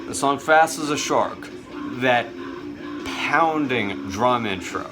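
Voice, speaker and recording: A man's voice: mid-range voice, animated, slow, music in the background. A young man showing off his cassette collection in a casual, enthusiastic vlog, with plenty of fillers. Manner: enthusiastic, chatty, with many uh fillers. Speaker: cassette collector and video host. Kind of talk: casual show-and-tell vlog.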